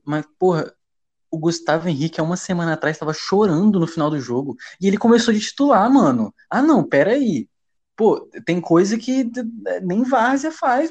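A man from Brazil hosting a casual football podcast, talking in heavy slang with frequent swearing and rising to loud, agitated rants. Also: a little high. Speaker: podcast host. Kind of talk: heated casual football chat.

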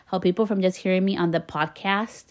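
A woman's voice: lowish voice, fairly even intonation, a little fast. A woman podcast host with a slight Spanish-influenced accent, talking casually and encouragingly to her listeners, laughing now and then between phrases. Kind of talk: casual motivational monologue.

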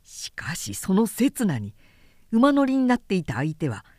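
A woman reading a literary story as a dramatic audiobook narrator, in a measured, expressive storytelling manner. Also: medium pitch, no echo, clean studio sound. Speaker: narrator, announcer.

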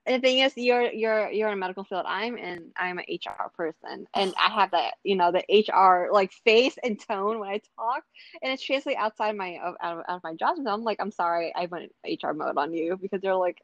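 A woman's voice: mid-range voice, a little fast, dry recording, muffled recording. A woman in her early thirties in casual, joking conversation, self-deprecating and often repeating her words for emphasis. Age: early 30s.

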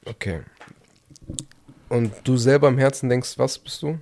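A man's voice: medium pitch, measured pace, creaky, darkish tone. A young man co-hosting a casual podcast, probing his partner with pointed, sometimes teasing questions. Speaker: podcast co-host.

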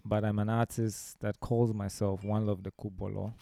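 A man's voice: on the low side, flat delivery, normal speed, creaky, warm tone. A man with a Ghanaian accent, a musician telling anecdotes in a discursive way as an interview guest. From Ghana, born in Romania. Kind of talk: conversational interview storytelling.

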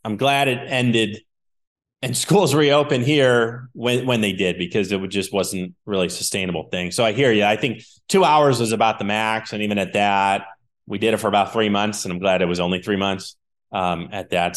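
A man hosting an interview podcast, upbeat and affirming, sometimes trailing off into a quieter, lower voice. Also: moderate pace. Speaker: podcast host.

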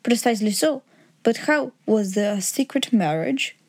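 A woman's voice: a little high, expressive, normal speed, breathy edge. A woman voicing a proud, agitated male aristocrat in an audiobook story, speaking in a formal, pompous, grave way. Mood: anxious. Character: huge proud Bohemian nobleman, secretly a king, agitated, formal.

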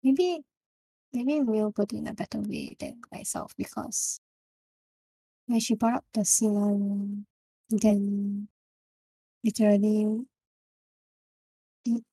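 A young woman with a Singaporean English accent, casually discussing a book with friends and often pausing to find her words. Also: medium pitch, fairly even intonation, dark tone, no echo, low-quality recording. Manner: halting, searching for words. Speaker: book club member.

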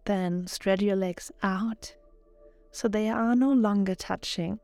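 Slow-paced woman guiding meditation. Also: mid-range voice, dry recording. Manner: calm, soothing, measured instruction. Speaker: yoga nidra meditation guide. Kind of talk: guided relaxation meditation narration. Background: background music.

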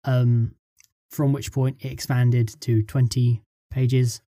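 A man being interviewed as a script writer, explaining his work casually in short, halting pieces. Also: mid-range voice, little pitch movement, dark tone, no room sound, clean studio sound.

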